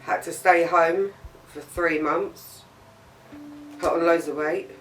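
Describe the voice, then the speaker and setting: A woman's voice: fairly low pitch, steady tone, slow. A woman in her forties answering interview questions in clipped, matter-of-fact sentences. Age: 40s. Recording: distant mic, music underneath.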